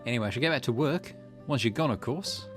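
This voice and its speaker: A man's voice: medium pitch, animated, fast talker, music in the background, close to an everyday voice. A man putting on a teasing, lilting shady stranger. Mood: breezy, secretive. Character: cagey, theatrical, shady male stranger loitering at night.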